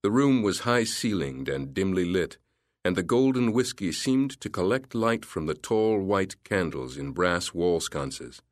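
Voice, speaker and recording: A male audiobook narrator with a low voice, reading a literary thriller in a measured, descriptive way. The sound is dry and close, with no room around it. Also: sing-song, no room sound.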